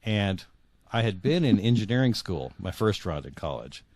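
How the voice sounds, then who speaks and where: A man's voice: on the low side, sing-song, a little mellow, no room sound. A middle-aged man being interviewed as an author, telling his personal story reflectively, often repeating words as he feels his way forward. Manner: halting, repeats words for emphasis.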